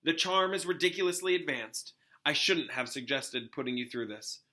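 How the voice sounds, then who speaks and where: A storybook wizard teacher, acted out by a man, caring but guarded. The voice is forceful and very bright, a little high. He mentors in a measured, firm way. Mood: hesitant, gentle.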